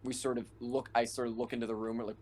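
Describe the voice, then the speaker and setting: A man's voice: mid-range voice, flat delivery, quick pace, a little mellow. A man playing a tabletop roleplaying game over online voice chat, chatting casually and jokingly, with some swearing. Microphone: online voice call. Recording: faint noise on online voice chat.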